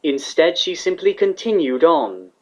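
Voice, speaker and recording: A man's voice: on the high side, big pitch swings, unhurried, a little mellow, muffled recording. A man reading scripted fantasy fiction aloud as the narrator of a story.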